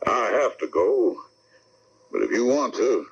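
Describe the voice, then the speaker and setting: A man playing a folksy, sympathetic small-town figure in a 1970s radio drama, halting and conversational, on a dull AM broadcast. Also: lowish voice, big pitch swings, slightly rough, heavily acted. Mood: grave, gentle.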